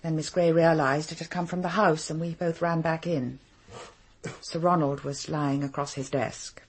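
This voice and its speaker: A composed, superior female secretary in a British radio drama. Her English voice is fairly low with a husky grain, and her phrasing is formal and clipped. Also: steady tone, normal speed. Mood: grave, measured. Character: cool, brisk, haughty female secretary to a powerful man.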